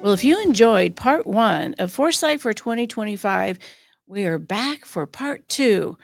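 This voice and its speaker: A woman's voice: mid-range voice, lively intonation, unhurried, gravelly, over music. A woman hosting a spiritual podcast as a psychic medium, talking in a chatty way with frequent fillers and restarts. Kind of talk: casual podcast chat.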